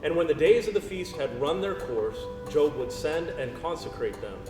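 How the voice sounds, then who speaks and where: A man's voice: on the high side, lively intonation, moderate pace. Guy narrating a bible play, far from the mic, music playing behind. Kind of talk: scripted Bible drama narration. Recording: echoey hall, distant, clear music behind.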